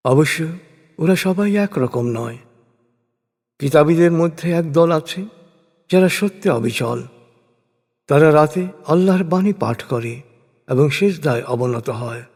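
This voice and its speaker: A man reading translated scripture as a solemn scripted narration, in measured, declamatory phrases. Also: fairly high pitch, a little mellow. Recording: long hall-like reverb.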